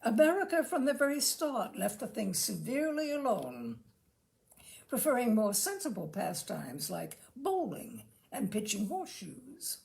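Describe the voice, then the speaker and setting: A woman giving an expressive, theatrical reading of a humorous essay, with measured phrasing and dramatic pauses. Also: fairly high pitch, creaky. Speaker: reader of literary humour.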